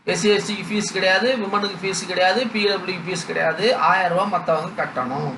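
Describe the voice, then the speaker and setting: A man's voice: high voice, bright, forceful tone. A middle-aged or older man with a Tamil accent, teaching students in a didactic, instructional lecture style. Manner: didactic, reading out numbered lists with frequent check-in questions. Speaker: exam coaching teacher. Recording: echoey room with background noise.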